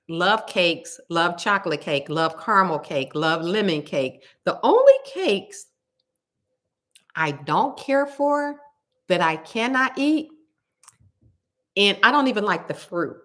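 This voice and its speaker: A woman with a loud voice that carries, talking casually to her viewers. Her voice has a bright, forceful edge over a fairly low pitch. She tells stories with animated emphasis and repeats words over and over to drive her point home. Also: slow pace, long pauses. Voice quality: loud, carrying voice. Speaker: cooking vlogger.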